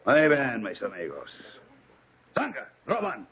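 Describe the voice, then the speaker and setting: An actor playing a menacing hijacker and hatchet man, commanding and measured in a radio drama. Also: mid-range voice, huge pitch swings, breathy edge, heavily acted. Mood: threatening. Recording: dull, noisy old radio recording.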